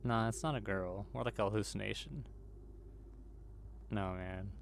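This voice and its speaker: A man's voice: fairly low pitch, normal speed, vocal fry, a little hiss or hum. A man hosting gaming videos, reading a visual novel's script aloud as he plays.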